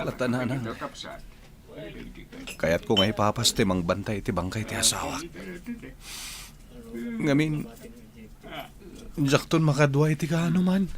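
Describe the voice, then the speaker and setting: An actor playing an anguished grown son and husband in a radio drama, melodramatic and pleading, with an Ilocano accent. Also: male voice, mid-range voice, lively intonation, measured pace, long pauses, natural voice. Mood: grieving. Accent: Ilocano, northern Philippines. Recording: music under the voice.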